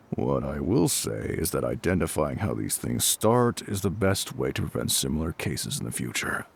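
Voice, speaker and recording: A gruff fire captain, acted by a man with an extremely low, husky voice. His delivery rises and falls freely while staying calm and reassuring, as if speaking directly to one listener in an audio roleplay. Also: full character voice. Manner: measured, matter-of-fact, reassuring. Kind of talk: intimate scripted audio roleplay spoken to the listener.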